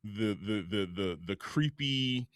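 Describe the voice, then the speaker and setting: A man with a fairly low voice talks casually as a podcast co-host. He is emphatic and repeats words for effect. The sound is close and dry. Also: expressive, slow pace, fairly bright tone, no echo.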